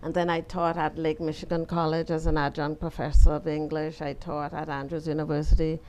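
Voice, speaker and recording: A woman's voice: deep voice, flat delivery, brisk, dark tone. Emphatic older Bahamian woman. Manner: emphatic, preacherly, gives firm advice. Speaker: interview guest, retired teacher and pastor's wife. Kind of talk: conversational interview.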